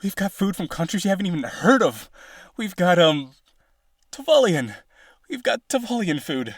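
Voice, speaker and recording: A man's voice: high-pitched, big pitch swings, moderate pace, no echo, studio quality, heavily acted. A man playing a lonely, boastful vampire aristocrat in an audio roleplay, genteel, dramatic and insistent in his possessive wooing. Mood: desperate. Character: lonely, boastful rich vampire brother, possessive yandere suitor.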